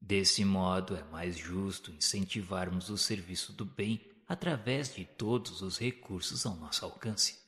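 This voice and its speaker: A Brazilian man narrates a spiritual audio novel in a solemn, formal, measured storytelling manner. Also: sits low, fairly bright tone. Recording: long hall-like reverb.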